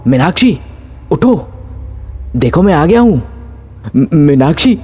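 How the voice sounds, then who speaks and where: A man's voice: a little high, big pitch swings, slow, dark tone, husky, voice pushed up, exaggerated voice. A man voicing a troubled, protective husband and father in a horror story, earnest and melodramatic. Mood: alarmed. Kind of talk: dramatized horror story dialogue.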